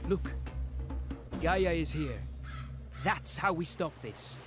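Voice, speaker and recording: An earnest young hero in a game cutscene. His voice is fairly high and very expressive, delivered at a measured pace over music. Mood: urgent, determined. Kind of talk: video game cutscene acting.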